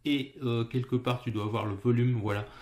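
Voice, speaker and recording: A man's voice: medium pitch, fairly even intonation, brisk, darkish tone. A man with a French accent casually walking the viewer through a mobile app's settings, speaking informally with frequent hesitations. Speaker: app tutorial presenter. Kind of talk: casual screen walkthrough.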